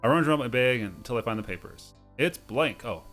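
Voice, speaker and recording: A man's voice: medium pitch, lively intonation, fast talker, fairly bright tone. Man with game music behind him. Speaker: host of a video game playthrough series. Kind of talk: let's play commentary and reading game text aloud.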